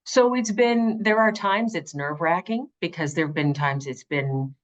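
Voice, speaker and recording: A middle-aged woman speaking conversationally in an interview as an audiobook narrator, with earnest, expressive and emphatic phrasing. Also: lowish voice, normal speed, lo-fi sound.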